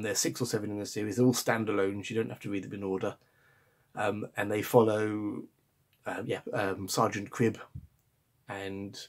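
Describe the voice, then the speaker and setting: Croaky-voiced man with a cold. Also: lowish voice, a little mellow. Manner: chatty, enthusiastic, frequent ums and restarts. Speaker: book reviewer. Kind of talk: casual book chat to camera. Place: home room in front of bookshelves. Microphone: camera or webcam at close range.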